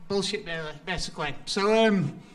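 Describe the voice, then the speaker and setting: A man's voice: sits high, moderate pace, slight background noise. A young man with a Yorkshire accent giving a casual, sweary, self-deprecating talk about his design work at a community event. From Yorkshire, England. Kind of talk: casual conference presentation.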